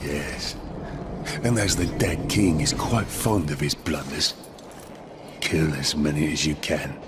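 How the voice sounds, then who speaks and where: Deep-voiced, ominous fantasy guide. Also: male voice, steady tone, background noise. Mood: grave, ominous. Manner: measured, ominous. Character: grave male guide warning of nightmare creatures. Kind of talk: video game cutscene acting.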